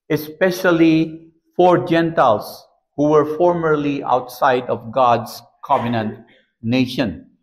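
A man in his sixties preaching a sermon from a pulpit in a small church, slow and deliberate, recorded by a camera across the room. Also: a little high, low-quality recording. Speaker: pastor.

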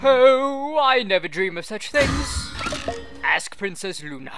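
A man's voice: sits high, big pitch swings, slow pace, over music, heavily acted. Man voicing a smug, flamboyant chaos trickster. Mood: smugly playful. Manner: flamboyant, mock-innocent. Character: smug, mischievous male chimera trickster of chaos. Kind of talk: cartoon fandub.

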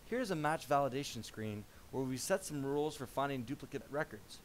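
A man narrating a software product demo as a voice-over, in a measured explanatory tone. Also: medium pitch, expressive, a little hiss or hum, low-quality recording.

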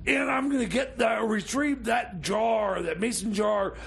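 An actor playing an excitable, bumbling scientist in radio comedy, stammering and frantically repeating himself. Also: male voice, high voice, unhurried, vocal fry, breathy edge, full character voice. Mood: eager, stammering. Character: excitable, bumbling self-styled mad scientist time traveller.

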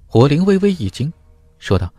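A man's voice: a little high, sing-song, normal speed, dark tone, no echo. A man reading dramatic scripted fantasy fiction as an audiobook narrator.

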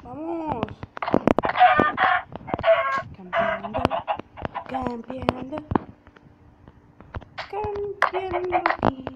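A woman's voice: high voice, lively intonation, very slow. A woman giving casual commentary on her own gameplay, muttering to herself and chanting repeated words. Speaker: gamer. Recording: muffled lo-fi audio with loud noise.

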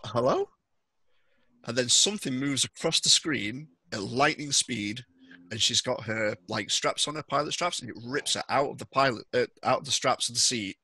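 Man, game master, bright forceful voice, husky edge, dry close headset sound, halting narration. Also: medium pitch, dry recording. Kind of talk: narrating a tabletop horror game. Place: indoors, on a video call.

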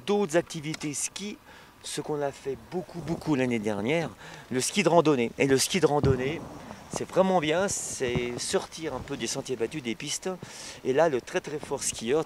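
A man in his fifties, a ski instructor, answers interview questions outdoors on a snowy slope into a handheld mic, talking in halting phrases with many filler tags. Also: medium pitch, noisy background. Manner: halting, frequent "hein" and "évidemment" tags.

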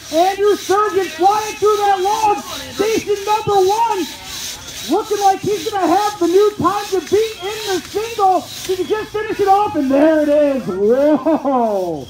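A man giving loud, folksy, excited live sports commentary as an event announcer, hyping up the crowd. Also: very high-pitched. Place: outdoor festival event, heard over loudspeakers. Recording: echoey outdoor PA with crowd noise. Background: cheering crowd.

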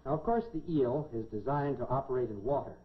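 A man's voice: mid-range voice, quick pace. A middle-aged American man presenting a scripted 1950s educational science film in a folksy, dryly humorous manner. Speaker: science presenter in a lab coat. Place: laboratory set, indoors. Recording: dull 1950s film soundtrack, clearly noisy.

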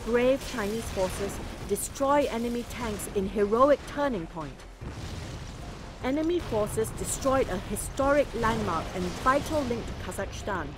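A woman's voice: a little high, expressive, unhurried, over music. A woman playing a solemn, dramatic newsreader, delivering a scripted war bulletin in a video game. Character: solemn female propaganda newsreader.

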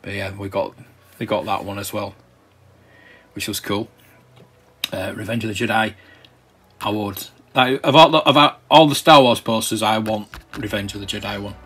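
Low-voiced man rambling casually. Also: steady tone, moderate pace, pauses often, a bit bright, husky. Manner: rambling and hesitant, with many ums and restarts; enthusiastic collector's remarks. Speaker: collector and channel host. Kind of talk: casual commentary while browsing a catalogue. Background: faint background music.

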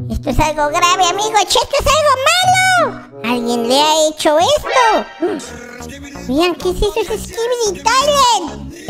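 A host voicing a baby boy character in a very high, childlike voice, giving excitable, exclamatory Minecraft roleplay commentary and repeating words. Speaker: gaming video host. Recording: children's music behind the voice. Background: loud playful children's music.

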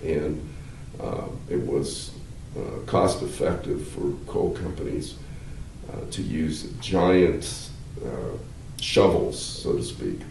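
An older man, a law professor, explaining at length in a measured, halting way with many fillers, seated in a wood-paneled room. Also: rumbling low, vocal fry, darkish tone. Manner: gestures with hands while talking. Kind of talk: explanatory interview answers. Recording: roomy, slightly distant, faint hiss.

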